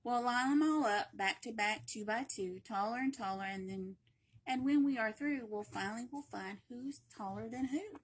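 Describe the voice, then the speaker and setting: A woman reading a children's picture book aloud in a playful, expressive way, with emphatic repetition and chatty asides. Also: a little high, moderate pace. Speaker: library read-aloud reader.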